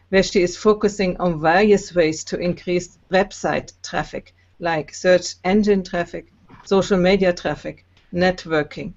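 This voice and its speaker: A woman's voice: on the low side, muffled recording. A woman co-hosting a casual online talk from her home, laughing often as she introduces guests. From Germany. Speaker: host and coach. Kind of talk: casual video call chat. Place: home in Italy. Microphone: video call.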